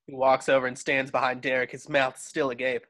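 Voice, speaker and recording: A man's voice: mid-range voice, little pitch movement, a little fast, a bit bright. A man narrating stage directions from a script in an amateur table read over a video call.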